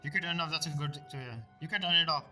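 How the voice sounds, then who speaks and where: A woman's voice: deep voice, very fast, sharp tone. A woman giving casual reaction commentary as she streams a game. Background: game audio and music.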